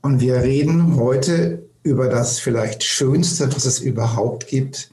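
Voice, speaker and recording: A man's voice: mid-range voice, takes it slowly, dark tone. A man hosting a podcast, introducing things conversationally in an effusive, repetitive way. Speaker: podcast host. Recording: roomy, echoing sound.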